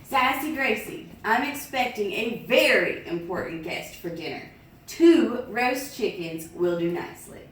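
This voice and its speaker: A woman's voice: medium pitch, animated, a little slow, a bit bright, slight background noise. A woman voicing a fussy older male master of a big house, pompous in a storybook reading. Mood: expectant. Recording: echoey room, distant mic.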